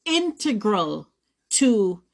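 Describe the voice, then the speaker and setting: A woman's voice: medium pitch, very animated, extremely slow. A woman giving earnest, articulate commentary.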